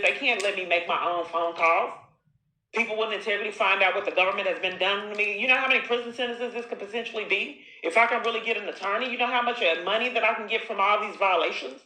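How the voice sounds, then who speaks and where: Bright-voiced woman, accusatory and insistent, echoey room. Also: mid-range voice. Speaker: caller recording her own phone call. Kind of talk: confrontational phone conversation with long monologues.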